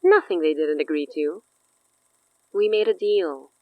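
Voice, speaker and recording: A woman playing a smug, low-voiced villainess. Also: unhurried, no room sound, narrow, tinny audio, close to an everyday voice. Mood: smooth bargaining. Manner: smug, drawling, coy. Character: cocky, teasing supernatural villainess making deals. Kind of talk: horror audio drama acting.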